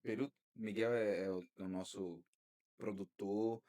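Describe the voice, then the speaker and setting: A man hosting a podcast interview, talking in a lively way and drifting into preacherly asides. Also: fairly low pitch, measured pace. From Brazil. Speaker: podcast host. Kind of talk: casual interview.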